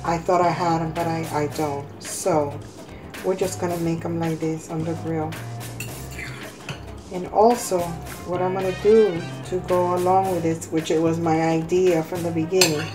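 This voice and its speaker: A woman with a slightly hoarse voice hosting a casual cooking demonstration, talking step by step in a halting way. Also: on the low side, fairly even intonation, music in the background. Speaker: cooking vlog host. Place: home kitchen, indoors.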